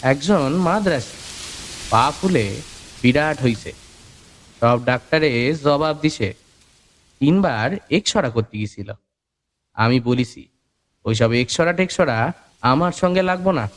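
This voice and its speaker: Boastful, animated rural Bengali folk healer. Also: male voice, medium pitch, takes it slowly, pauses often. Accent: rural Bengali dialect. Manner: colloquial, boastful, emphatic. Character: boastful folk-healer man, older, chatty, superstitious, proud. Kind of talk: audio story character dialogue.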